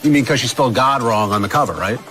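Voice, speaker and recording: A man's voice: mid-range voice, fast, fairly bright tone, faint music behind. A man presenting a show in a cheeky, joking way with scripted comedic banter. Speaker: show host.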